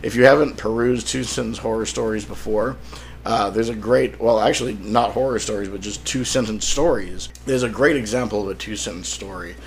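Male podcast host, creaky and slightly sick-sounding, casual and wryly self-deprecating. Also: lowish voice, brisk, a little hiss or hum. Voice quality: slightly rough, throat-clearing. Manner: wry, self-deprecating joking. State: sick, rough throat. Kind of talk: casual solo podcast monologue.

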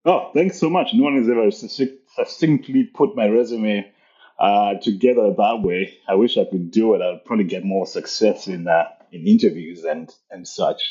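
A man from Zimbabwe speaks as a guest on a video call, modest and hesitant, often pausing on fillers. His voice is very deep and mellow, heard through dull, lo-fi call audio. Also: breathy edge. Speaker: podcast guest. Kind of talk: interview conversation.

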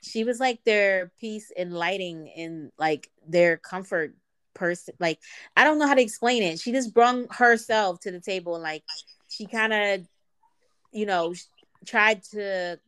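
A woman's voice: mid-range voice, unhurried, fairly bright tone, clean tone. A woman co-hosting a podcast, congested from a cold, rambling informally with many fillers. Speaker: podcast co-host. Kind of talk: casual chat.

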